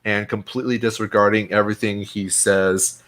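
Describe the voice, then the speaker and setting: Blunt low-voiced male podcast host. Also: level tone, moderate pace, fairly bright tone. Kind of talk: casual political podcast chat.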